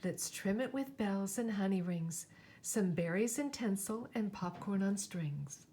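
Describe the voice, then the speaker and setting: A woman's voice: mid-range voice, lively intonation, unhurried, dark tone, a little hiss or hum. Woman putting on a jolly, eager storybook bear. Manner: cheerful, exclamatory. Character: jolly, eager male bear, a family father. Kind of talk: storybook character voice.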